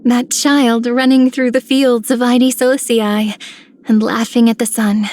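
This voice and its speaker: A woman playing a tender, affectionate young woman in a video game, with a soft, lyrical delivery. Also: fairly high pitch, level tone, unhurried, close to an everyday voice. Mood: tender, hopeful. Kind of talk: scripted game character voicelines.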